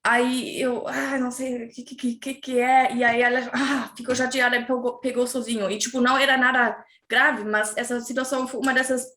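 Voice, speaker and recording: A woman talks casually as a guest, speaking a language she learned later. Her voice sits a little high, and she often stops to search for words and fills the gaps. The room around her sounds echoey. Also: level tone, fairly quick, hardly any pauses, bright, forceful tone. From Germany. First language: German. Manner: hesitant, searching for words, many fillers. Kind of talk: casual interview chat.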